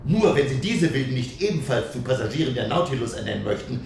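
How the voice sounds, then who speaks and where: A man's voice: mid-range voice, very animated, fast, gravelly, darkish tone, slight background noise. A man playing an educated, formal professor, urgent and alarmed, in a German radio drama. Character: agitated, formal male professor warning of danger. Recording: strong room echo, music behind.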